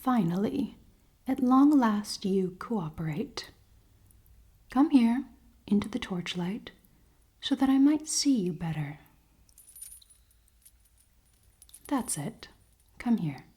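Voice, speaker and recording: A woman playing a cold-hearted, commanding elven queen in a fantasy ASMR roleplay, soft and often whispering, with formal, teasing speech. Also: mid-range voice, lively intonation, slow, pauses often, slightly rough. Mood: commanding, coaxing. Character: cold-hearted, dominant elven queen, haughty, secretly caring.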